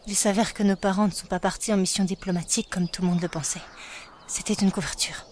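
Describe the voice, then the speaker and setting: A woman playing a gentle, reserved, anxious royal elder sister in a fan dub, speaking in a restrained, measured way. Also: mid-range voice, steady tone, slight background noise, natural voice. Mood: sorrowful. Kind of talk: animated-fantasy fan dub acting in French. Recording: clear music behind the voice.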